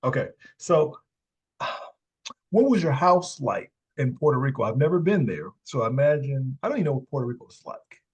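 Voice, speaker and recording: Husky-voiced man hosting a podcast. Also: mid-range voice, sing-song, normal speed. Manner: upbeat scripted intro, then chatty questions with repeats. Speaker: podcast host. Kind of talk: interview podcast.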